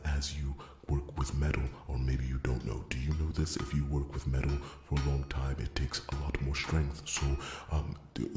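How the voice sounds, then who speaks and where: A man playing a gentle, earnest dwarf blacksmith in an ASMR fantasy roleplay, stammering deferentially in a hushed half-whisper. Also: extremely low pitch. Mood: modestly proud. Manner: halting, stammering, deferential, repeats his words. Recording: roomy echo, faint background noise.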